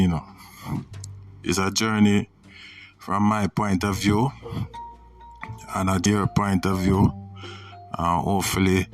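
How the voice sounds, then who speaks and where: A man with a Jamaican accent hosting a podcast, giving a casual, reflective motivational monologue with frequent pauses and "you know". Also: low-pitched. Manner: reflective, pausing often, repeats "you know". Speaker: podcast host. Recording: distant mic with music behind.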